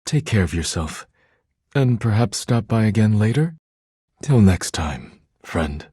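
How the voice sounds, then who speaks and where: Deep, husky, formal proud artist. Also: male voice, sing-song, warm tone, no room sound, clean studio sound, heavily acted. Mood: warm, friendly. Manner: formal, deliberate, theatrical phrasing. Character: proud, formal, easily wounded male artist, devoted when befriended. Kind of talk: video game voice acting.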